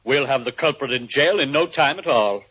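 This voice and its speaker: A man's voice: mid-range voice, expressive, moderate pace, close to an everyday voice. Man playing an obliging judge through heavy noise. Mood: breezily obliging. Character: breezy, compliant judge granting any rich man's warrant. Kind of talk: 1950s radio drama acting. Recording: very noisy, dull old radio recording.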